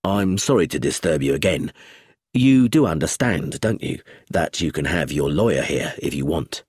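A man voicing an abrupt, measured police chief inspector in an audiobook. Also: rumbling low, lively intonation, a little mellow, slightly rough, no room sound, studio quality, pitched below the performer's own voice, heavily acted. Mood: calm. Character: calm, brisk male police chief inspector.

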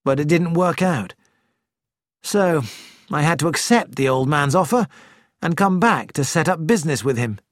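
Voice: mid-range voice, expressive, moderate pace, dry recording, clean studio sound, lightly acted. A narrator voicing a restless young male dentist who speaks earnestly and plainly.

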